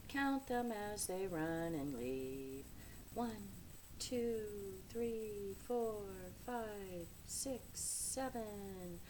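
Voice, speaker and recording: A woman voicing a small, plucky male mouse in a storybook, soft-spoken, gentle and reassuring. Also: medium pitch, slight background noise, low-quality recording. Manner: soft-spoken, reassuring, singsong when lulling. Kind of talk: storybook read-aloud character.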